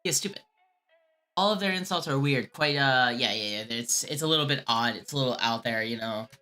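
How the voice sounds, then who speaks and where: Bright-voiced woman streamer chatting live. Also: rumbling low, lively intonation, soft background music. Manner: halting, restarts often, earnest. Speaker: streamer and scam baiter. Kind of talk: live stream chat answering viewers.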